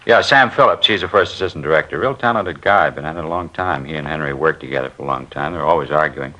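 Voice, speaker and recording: A man's voice: rumbling low, fast, pushed and bright. An actor playing a talkative film studio worker who rambles through his stories as a witness in a 1950s radio crime drama. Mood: chatty. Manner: chatty, rambling storyteller. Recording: muffled old radio recording.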